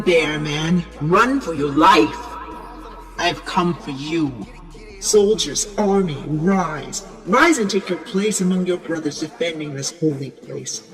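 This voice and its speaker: Imperious, zealous female temple guardian, grandiose and unhurried, video game cutscene with faint music. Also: low voice, a bit bright, poor audio. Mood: menacing, commanding. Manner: solemn, grandiose, incantatory.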